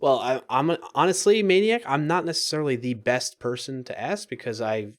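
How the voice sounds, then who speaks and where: A man with an ordinary mid-range voice talks casually through a live coding session. He muses out loud, trails off and restarts his sentences, and answers viewers as he goes. Soft music plays faintly underneath. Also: medium pitch, expressive, fairly quick. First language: English. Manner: casual, thinks aloud, frequent fillers and trailing restarts. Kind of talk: live coding stream chat, reading viewer comments aloud.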